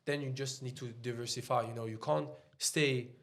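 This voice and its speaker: A man's voice: medium pitch, steady tone, fairly bright tone. Swiss French man, rambling casual talk. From Switzerland. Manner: loose and halting, heavy on "like" fillers. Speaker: podcast guest, trader.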